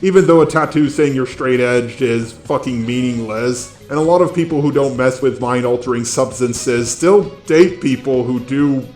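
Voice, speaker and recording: A man's voice: medium pitch, moderate pace, few pauses, darkish tone, roomy sound, soft background music. A synthetic, robot-like man's voice reading scripted commentary as the host of a video. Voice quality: synthetic robot-like text-to-speech voice. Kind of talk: scripted drama commentary narration.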